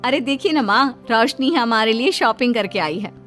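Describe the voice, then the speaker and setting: A woman's voice: fairly high pitch, moderate pace, a bit bright, soft background music, natural voice. A woman voicing a sugary, enthusiastic elder sister-in-law in a cartoon family story, sweetly effusive. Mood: excited. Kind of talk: Hindi cartoon story dialogue.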